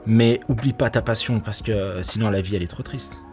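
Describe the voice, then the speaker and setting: Middle-aged man, low voice, chatty interviewee who laughs a lot, faint music underneath. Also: brisk, darkish tone. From Portugal. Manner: laughs often, many restarts and fillers. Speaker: actor and nightclub security manager. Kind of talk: casual interview answers.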